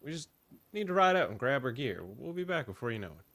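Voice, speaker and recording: Man acting an easygoing frontier fellow, mid-pitched. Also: very animated, quick pace, fairly bright tone. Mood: casual, persuading. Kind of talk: amateur radio play acting.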